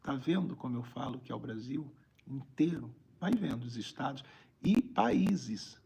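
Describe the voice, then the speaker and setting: A Brazilian man with a raspy, gravelly voice, a preacher talking conversationally on a live stream and repeating phrases for emphasis. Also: mid-range voice, animated, a little slow, warm tone, slight background noise. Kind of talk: informal livestream preaching, reading viewer greetings.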